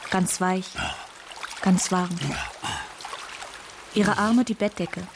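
A wounded but determined eleven-year-old girl mourns her mother in a radio play. She speaks at a medium pitch, in simple, childlike poetic phrases. Rain-like noise is clearly heard behind her. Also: steady tone, very slow. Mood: grieving. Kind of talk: radio drama monologue. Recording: clearly noisy rain-like background.

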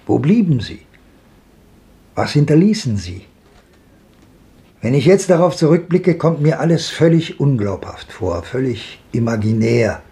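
An actor playing a world-weary old wanderer in a 1950s German radio drama, reminiscing in a lyrical, declamatory way. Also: male voice, mid-range voice, very animated, measured pace, pauses often, warm tone. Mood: grave, reminiscing. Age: older. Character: world-weary old wanderer looking back on a hard life.

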